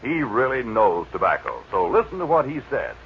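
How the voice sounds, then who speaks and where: A man's voice: fairly low pitch, wildly swooping pitch, moderate pace. A man announcing a commercial in the style of 1940s radio advertising. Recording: muffled, faintly noisy old radio.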